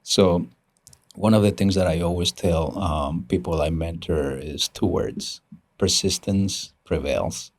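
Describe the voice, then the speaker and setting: A man tells his story as a podcast guest. His voice sits very low with a gravelly fry, and he talks earnestly, restarting phrases and chuckling. The sound is dry and close. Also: unhurried, no echo. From Ecuador. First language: Spanish. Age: 50s. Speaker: guest, engineering executive. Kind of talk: casual interview talk.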